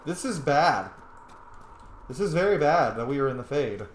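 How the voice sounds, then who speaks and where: A man's voice: a little high, lively intonation, moderate pace. A man hosting a roleplay playthrough with casual, informal commentary, talking back to the characters. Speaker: gaming channel host playing a roleplay. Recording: echoey room.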